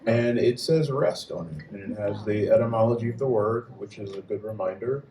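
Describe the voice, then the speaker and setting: A low-voiced male host makes closing announcements, halting over many fillers. He sounds distant from the mic in an echoey hall. Faint noise sits behind him. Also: mellow. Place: venue hall at a live reading.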